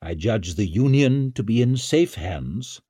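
Man playing a measured, self-important old wizard. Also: on the low side, animated, warm tone, slightly rough, no room sound, clean studio sound. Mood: self-satisfied. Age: older. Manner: measured, formal, patronising. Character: old male wizard adviser, smooth, hard, self-important.

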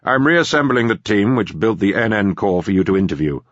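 A man voicing a sober corporate security chief in an audiobook, measured, formal and matter-of-fact. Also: lowish voice, animated, fairly bright tone, no room sound, dull audio, voice pushed up.

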